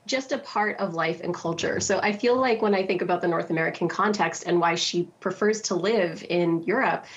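A woman's voice: fairly low pitch, fairly quick, highs cut off. A woman in her thirties, a founder speaking reflectively as a debate guest over a video call. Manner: reflective, many fillers like "you know". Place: home room.

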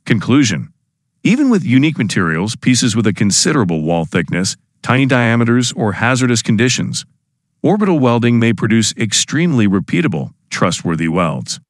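A man's voice: medium pitch, big pitch swings, a little fast, no echo, studio quality. A man giving scripted, formal narration for an instructional explainer video.

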